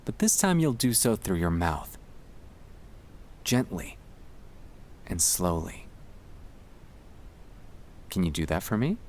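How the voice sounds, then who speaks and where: Man, gentle ASMR guided breathing, soothing, half-whispered, unhurried long pauses, faint rumbly noise. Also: very animated. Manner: soft-spoken, soothing, often dropping into whispers. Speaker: ASMR creator guiding breathing exercises.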